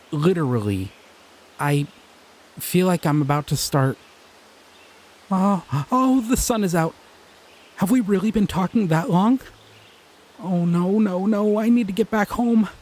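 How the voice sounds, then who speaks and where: Man voicing a shy, lively-voiced slime creature. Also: on the high side, dry recording, studio quality, exaggerated voice. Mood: anxious. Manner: soft-spoken, hesitant, trailing off. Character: shy gentle male slime creature, lonely and flustered. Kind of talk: audio roleplay.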